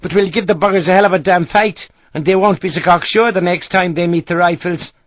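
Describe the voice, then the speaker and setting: A man doing a gruff, big Irish rifleman. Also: high-pitched, steady tone, brisk, no room sound, voice pushed up, natural voice. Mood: wry. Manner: gruff, salty soldier's talk.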